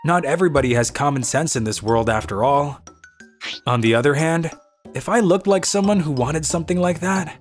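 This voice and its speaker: A man's voice: on the high side, fairly quick, soft background music, close to an everyday voice. A man playing a weary, cynical young office worker who grumbles and narrates his own manga story in a dry, exasperated way. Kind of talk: dubbed manga story narration in first person.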